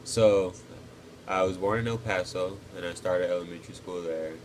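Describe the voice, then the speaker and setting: A man in his twenties from Texas, answering interview questions casually and thoughtfully, pausing often with ums. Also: low voice, fairly even intonation, a little mellow, slight background noise. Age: 20s. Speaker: interviewee, cadet.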